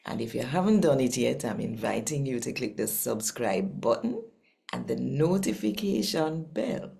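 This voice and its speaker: A woman's voice: a bass voice, very animated, moderate pace, gravelly, warm tone. A woman with a Jamaican accent hosting a devotional channel and reading scripture to her audience. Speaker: channel host and scripture reader.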